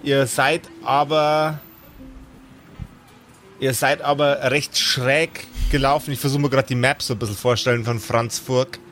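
A man's voice: mid-range voice, fairly even intonation, unhurried, a bit bright. Guy running a pen and paper game, casual narration, music behind him. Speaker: game master. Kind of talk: casual narration of improvised role-play.

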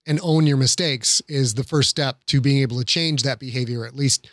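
A man's voice: mid-range voice, moderate pace, a bit bright, dry recording, studio quality. A middle-aged man in a casual podcast discussion, explaining things in an earnest, plain-spoken way.

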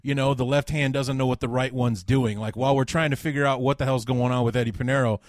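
A man with a baritone voice hosting a casual sports podcast, talking in loose rambling runs with restarts. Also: fairly even intonation, quick pace, dry recording, clean studio sound. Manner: rambling, restarts, laughs often. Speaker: podcast host.

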